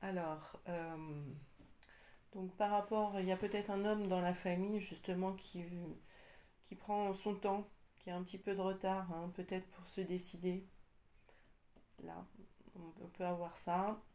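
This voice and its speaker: Unhurried woman with a cold reading tarot. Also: fairly low pitch, flat delivery, mellow. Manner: hesitant, with many pauses and fillers. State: has a cold, slightly congested. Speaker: tarot card reader. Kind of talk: casual tarot reading for viewers.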